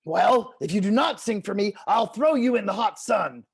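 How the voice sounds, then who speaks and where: Storybook coyote, male, demanding and hot-tempered, emphatic delivery with big pitch swings played by a woman reader. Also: animated, moderate pace, creaky, breathy edge. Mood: angry, threatening. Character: pushy, short-tempered male coyote in a folktale.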